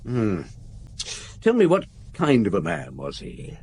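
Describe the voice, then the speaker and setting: Radio drama detective, calm chief inspector; steady, probing, in command. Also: male voice, medium pitch, creaky, a little hiss or hum, heavily acted. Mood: questioning. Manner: measured, patient, authoritative questioning. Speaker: detective questioning witnesses. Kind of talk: 1950s-set radio drama acting.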